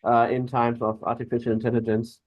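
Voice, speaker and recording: A man starts a presentation over a video call. The audio is dull and dry, as if from a cheap laptop mic in a small room. His pitch is middle, and he hesitates often with "uh". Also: monotone, a little fast, dry recording. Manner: hesitant, many "uh" fillers. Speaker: invited speaker. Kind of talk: online presentation.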